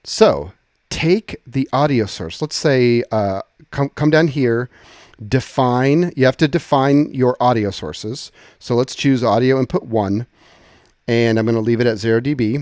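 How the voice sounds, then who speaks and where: A man giving an informal, conversational software tutorial, explaining step by step and often rephrasing as he goes. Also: mid-range voice, a little slow, dry recording, studio quality. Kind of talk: casual screen-share tutorial, explanatory.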